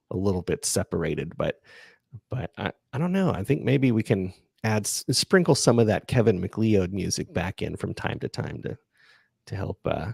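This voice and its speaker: Male podcast host, low gravelly voice, close dry sound, halting and unassuming in casual Q&A. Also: darkish tone, no echo. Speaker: podcast creator and livestream host. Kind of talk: casual chat, answering listener questions.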